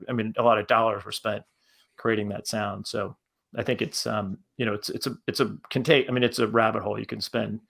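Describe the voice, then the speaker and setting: A man's voice: fairly low pitch, vocal fry, fairly bright tone. A man talking casually on a panel as a podcast creator, hesitant and often repeating his words.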